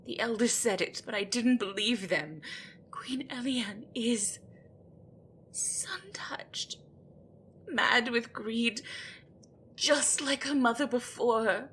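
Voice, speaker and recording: Woman doing a scared gryphon girl in audio rp, highish voice, slow n halting, begging, very deferential. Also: a bit bright, a little hiss or hum. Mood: anguished pleading. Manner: halting, pleading, breathy stammering. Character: desperate, frightened female gryphon, pleading and deferential. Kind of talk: audio roleplay acting.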